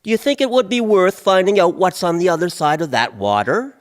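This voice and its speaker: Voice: medium pitch, expressive, fairly quick, natural voice. An actor playing a curious, musing beetle scout in a radio drama. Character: curious beetle scout, fussy about the cold.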